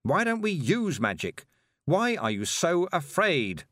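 A man's voice: a little high, sing-song, unhurried, a bit bright, dry recording, clean studio sound, voice pushed up. A man voicing a headstrong young girl witch, impatient and scornful. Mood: defiant.

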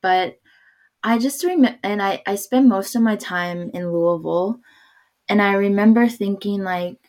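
A woman talking in a relaxed conversation, hesitating and restarting often, with little laughs between thoughts. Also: mid-range voice, unhurried. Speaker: podcast guest. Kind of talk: casual conversation.

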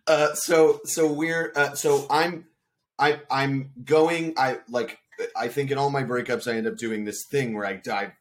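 A man's voice: mid-range voice, fairly even intonation, unhurried, a little hiss or hum. Easygoing man with a mid-range voice. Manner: meandering, reflective. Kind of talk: casual chat.

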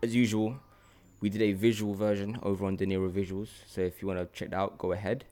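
A British man with a London accent hosting a casual podcast, talking in an animated, slangy way and repeating himself for emphasis. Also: lowish voice, fast. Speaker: podcast host.

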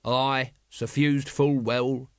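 A man's voice: medium pitch, level tone, drawn-out words, clear, resonant tone, no room sound, studio quality. A man voicing a plain, put-upon underling in a secret lodge, with a matter-of-fact manner. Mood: droning ritual. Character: plain, grumbling lodge underling reciting ritual responses.

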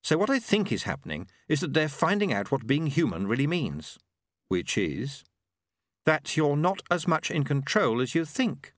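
A man voicing a brisk, knowing, sardonic woman in an audiobook, with a dry, sarcastic manner. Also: a little high, sing-song, dry recording, voice pushed up. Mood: brisk, sceptical. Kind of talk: audiobook character acting.

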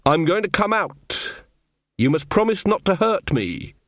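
A man's voice: sits high, big pitch swings, measured pace, fairly bright tone, dry recording, studio quality, pitched above the performer's own voice, full character voice. A man doing a meek young woman. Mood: sweetly polite. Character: gentle young woman, meek but secretly fierce.

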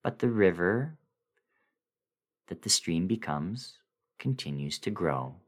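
A man with a deep voice reads a horror story aloud as a dramatic performance. His delivery is deliberate and brooding, moving slowly and swinging widely in pitch as the emphasis swells. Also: animated. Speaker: dramatic reader.

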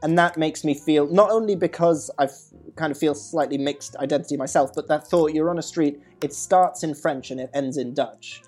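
A man co-hosting a casual podcast conversation, talking in a measured, reflective way with pauses and soft laughs. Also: fairly high pitch, soft background music. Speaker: podcast co-host.

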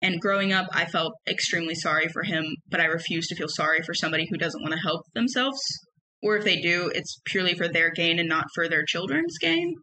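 A young woman with a loud, emphatic voice, telling personal stories in a casual solo podcast. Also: fairly low pitch, expressive, fairly quick, rough recording. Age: young adult. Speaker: podcast host.

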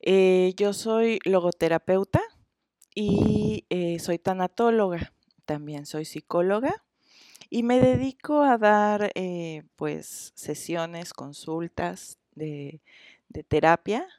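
A Mexican woman in her forties, a therapist being interviewed, who talks in a halting way with many hesitations. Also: on the low side, a little mellow, no echo, clean studio sound. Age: 40s. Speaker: therapist guest. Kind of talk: interview conversation.

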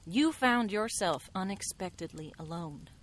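An actress playing an enigmatic, worldly woman mystic in a radio drama, skeptical and knowing, with deliberate, eloquent, theatrical phrasing. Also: mid-range voice, lively intonation, close to an everyday voice. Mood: pointed, wry.

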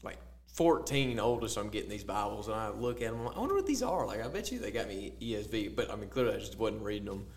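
A man co-hosting a faith podcast, telling stories in a casual, animated way with many fillers. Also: lowish voice, quick pace, few pauses. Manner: storytelling, animated quotes, filler-heavy. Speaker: podcast co-host.

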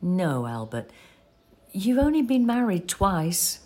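An actress playing a patient, practical elderly wife in an audio play, explaining things in a fond, conversational way. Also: female voice, fairly low pitch, very animated, takes it slowly. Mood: fondly teasing. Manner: conversational, gently explaining, reassuring. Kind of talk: audio play acting with reflective narration.

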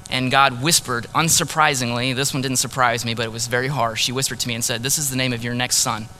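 A man preaching in the first person as a grieving ancient prophet, earnest and sorrowful, dropping to a hush on key words. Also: mid-range voice, fairly even intonation, fast talker, a little hiss or hum, close to an everyday voice, rough recording. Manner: earnest, sorrowful storytelling, drops to hushed tones on key words. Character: grieving ancient male prophet, heartbroken husband. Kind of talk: dramatic first-person sermon monologue.

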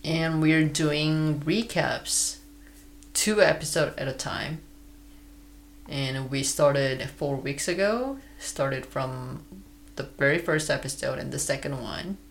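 A podcast co-host chatting casually, easygoing and unhurried, laughing often. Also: mid-range voice, gravelly. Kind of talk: casual chat.

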